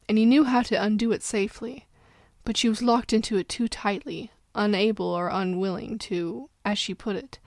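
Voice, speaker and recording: A woman's voice: mid-range voice, darkish tone, no echo, clean studio sound. A woman reading a story as dramatic narration, getting over a cold. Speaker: fan fiction narrator.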